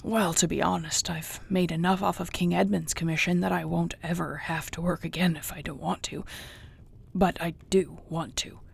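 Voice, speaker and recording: A husky, gravelly orc warrior girlfriend acted by a woman, low in pitch. She is gentle and content, talking softly and unhurriedly to her beloved in an intimate audio roleplay, often close to a whisper. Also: fairly even intonation, exaggerated voice. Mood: warm, hopeful. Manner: soft, tender, unhurried, often near a whisper.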